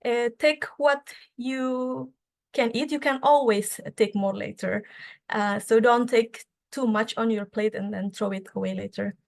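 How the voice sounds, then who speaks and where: A woman's voice: on the high side, gravelly, a little mellow. Woman presenting with hesitant fillers. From Indonesia. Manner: halting, many fillers and restarts. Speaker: student association speaker. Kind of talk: conference presentation.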